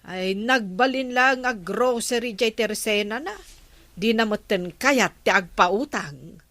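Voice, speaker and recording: A woman playing a gossipy, grumbling village woman in an Ilocano comedy drama, with drawn-out exclamations. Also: on the high side, a bit bright, dry recording. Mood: complaining.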